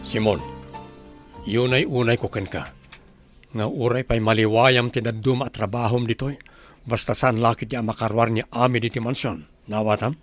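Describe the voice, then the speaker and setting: A man's voice: medium pitch, fairly even intonation, normal speed, faint music behind, natural voice. Stern, controlling master of the house acted by a man. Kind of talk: melodramatic audio drama acting.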